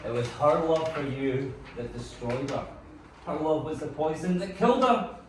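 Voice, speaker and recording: A man's voice: on the high side, normal speed, slight background noise, close to an everyday voice. Maniacal male doppelganger, acted by a man for film drama, grinning taunter, very echoey room. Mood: cruel taunting. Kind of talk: dramatic table-read acting. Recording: very echoey room, distant mic.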